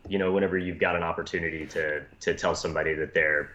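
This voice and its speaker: A man with a deep voice hosting a live-streamed chat about running tabletop games, animated and quick to slip into acted voices. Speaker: game master and show host. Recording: roomy, dull lo-fi stream audio.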